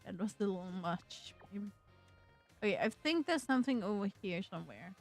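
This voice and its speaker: A woman streaming a video game who chats casually and reads the on-screen text aloud, slowly and haltingly, sometimes tripping over words. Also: mid-range voice, lively intonation. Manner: slow, halting, trips over words while reading. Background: faint game music.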